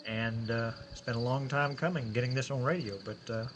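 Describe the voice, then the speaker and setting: A man's voice: medium pitch, a little fast, muffled recording, background noise. A man casually introducing his own show in a hesitant, rambling way.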